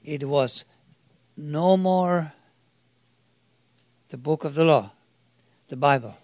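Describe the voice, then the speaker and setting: A man preaching a sermon to a congregation, emphatic and halting, with restarts and questions put to his listeners. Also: fairly high pitch, a little mellow, no room sound, clean studio sound.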